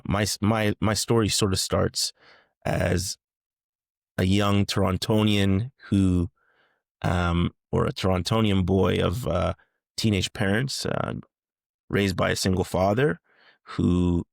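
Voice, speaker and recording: Man in his thirties, deep voice, gravelly fry, hesitant with many fillers, dry close sound. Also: steady tone, takes it slowly, no echo. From Canada. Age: 30s. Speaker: guest. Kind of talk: casual interview conversation.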